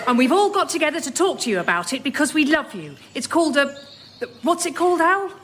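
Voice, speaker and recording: A woman's voice: high voice, sing-song, fast talker, a loud crowd, heavy background noise. A woman playing a motherly, firm storybook animal, coaxing and chiding in a radio comedy sketch. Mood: concerned.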